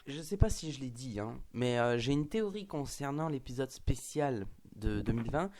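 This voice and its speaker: A man's voice: medium pitch, lively intonation, normal speed, a crowd in the background. Man with a cold, chatting. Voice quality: hesitant, many fillers and restarts. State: has a cold. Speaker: host. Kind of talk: casual fan discussion.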